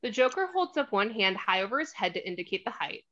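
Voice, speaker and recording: A woman's voice: mid-range voice, very animated, fast talker, sharp tone. A woman reading scripted stage directions as narrator of a screenplay table read, on a slightly dull microphone.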